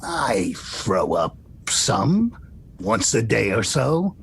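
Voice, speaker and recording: Creaky, cranky old man, acted by a man. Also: very animated, drawn-out words, fairly bright tone, exaggerated voice. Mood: grumbling. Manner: grumbling, wisecracking. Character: cranky, stubborn, foul-mouthed eighty-two-year-old male patient. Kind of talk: screenplay table read. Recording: audible low rumbling noise.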